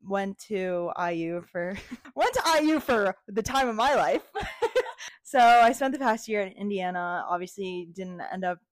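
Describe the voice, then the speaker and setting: A woman's voice: mid-range voice, expressive, unhurried, dry recording. A young American woman co-hosting a podcast, chatting casually in a bubbly, giggly way with girl-talk slang. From Miami, United States. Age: young adult. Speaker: podcast co-host. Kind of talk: casual chat.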